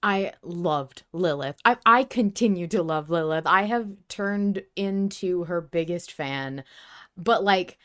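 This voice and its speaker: A woman's voice: fairly low pitch, takes it slowly, a bit bright. Effusive woman podcast host. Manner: effusive, sweary. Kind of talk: casual fan podcast chat.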